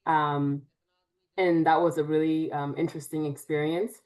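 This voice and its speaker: A woman's voice: sits low, level tone, moderate pace, darkish tone, low-quality recording. A young woman from Cameroon, a psychiatrist, answering interview questions with frequent "um"s. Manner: frequent "um" before phrases. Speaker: guest, psychiatrist.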